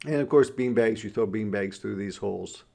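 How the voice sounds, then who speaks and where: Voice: mid-range voice, normal speed, vocal fry, mellow. A teacher reading a children's story aloud, explaining simply and putting questions to the listener.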